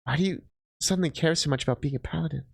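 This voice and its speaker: A man voicing a protective, anxious young woman adventurer in a fantasy story, earnest, gentle and hesitant with a loved one. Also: a little high, rapid-fire, gravelly, a little hiss or hum. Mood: frustrated.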